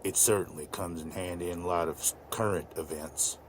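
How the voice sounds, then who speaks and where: Older male farmer played by a man, low voice, weary, measured, motion comic drama, faint background noise. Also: fairly even intonation, lightly acted. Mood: calm, hospitable. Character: weary older widowed male farmer, former vet, plainspoken.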